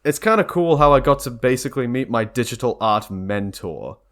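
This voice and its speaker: A man's voice: medium pitch, expressive, normal speed, a bit bright. A young man talking casually and earnestly in a rambling, informal way, as a video creator addressing viewers. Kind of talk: casual vlog talk to camera or mic.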